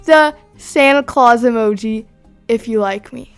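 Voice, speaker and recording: A woman's voice: on the high side, a little slow, clear, resonant tone, faint music behind. A young woman, the host's sister, teasing playfully as she barges into the recording.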